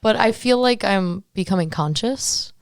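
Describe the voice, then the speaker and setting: A woman of twenty-two hosting a podcast, chatting candidly and playfully on a studio boom mic. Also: gravelly, dry recording. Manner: candid, playful, quick to laugh. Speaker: podcast host. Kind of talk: casual podcast chat. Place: small decorated podcast studio. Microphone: studio boom mic with headphones.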